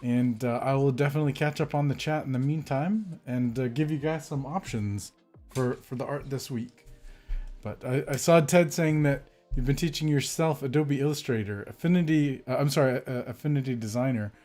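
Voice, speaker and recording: A man's voice: medium pitch, moderate pace, a little mellow, faint music behind. A man hosting a calligraphy live stream, chatting casually and greeting viewers by name, with a slightly congested voice from a cold. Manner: friendly, greets viewers by name, rambling with fillers.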